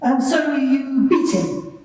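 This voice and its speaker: A woman's voice: high voice, lively intonation, slow pace, warm tone. A woman playing a composed cross-examining lawyer, putting formal, pointed questions in a courtroom. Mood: probing. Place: large reverberant courtroom. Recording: very echoey hall, distant mic.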